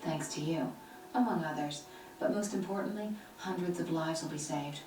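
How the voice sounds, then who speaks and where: An actress playing a confident, composed sorceress in a video game, speaking with assurance. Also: female voice, low-pitched, normal speed, mellow, close to an everyday voice. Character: confident, loyal female sorceress, composed and brave. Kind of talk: video game cutscene acting. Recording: echoey game audio, distant, faint music.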